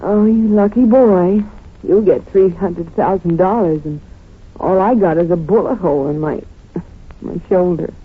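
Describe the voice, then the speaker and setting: An actress playing an eager, playful young sweetheart in an old radio drama, curious and coyly affectionate. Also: female voice, lowish voice, a little slow, breathy edge. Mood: coy, adoring. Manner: curious, coy, affectionate questioning. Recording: muffled, narrow vintage radio recording.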